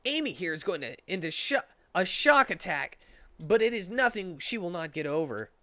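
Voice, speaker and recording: A man's voice: high voice, very animated, moderate pace, pushed and bright, voice pushed up, full character voice. A man voicing a brash young male cartoon hedgehog hero in a comic story reading. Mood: reassuring.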